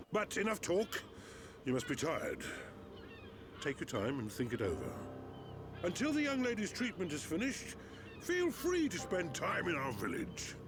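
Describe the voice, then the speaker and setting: An actor playing an old male Machina elder who speaks slowly and solemnly, in a game cutscene. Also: on the high side, background noise. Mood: kindly. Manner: slow, solemn, wide-ranging delivery. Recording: game cutscene with loud score.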